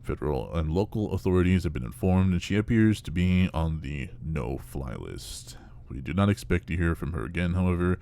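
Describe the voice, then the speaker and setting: A man plays a male official with a very low voice full of gravelly creak. He reads a report in a formal, measured way, pausing hesitantly, as part of a horror audio drama. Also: expressive, dark tone. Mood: formal, detached. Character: dry male official reading an investigation report.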